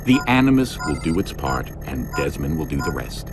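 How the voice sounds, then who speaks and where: An actor playing an assured, reassuring scientist in a video game, speaking in clipped statements. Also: male voice, very deep voice, big pitch swings, normal speed, music in the background. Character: confident, reassuring male scientist. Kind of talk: video game cinematic dialogue.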